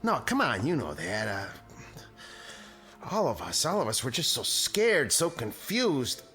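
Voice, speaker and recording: A sheepish suburban neighbor in a radio drama, stammering and pleading. His mid-pitched voice moves up and down a lot over faint music. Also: wildly swooping pitch, a little slow, pushed and bright, heavily acted. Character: sheepish, flustered suburban neighbor, eager to be forgiven.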